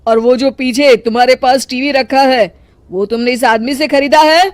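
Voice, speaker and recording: A woman's voice: high-pitched, normal speed, no echo, natural voice. A woman voicing a stern male policeman in a children's storybook tale, commanding and interrogating. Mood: stern, loud.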